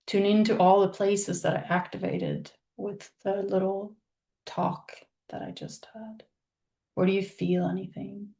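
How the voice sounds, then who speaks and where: Slow, gravelly-soft woman guiding meditation. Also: lowish voice. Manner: soothing, unhurried, with long pauses between phrases. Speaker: guided meditation leader. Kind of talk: guided meditation, soft spoken visualization.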